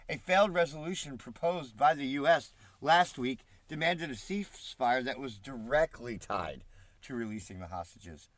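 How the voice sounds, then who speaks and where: A man's voice: fairly high pitch, a bit bright, dry recording. A man with a stuffed-up, congested voice, reading news aloud on a live stream and breaking off with mocking, profane asides. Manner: swears freely, mocking asides. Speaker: political commentary streamer.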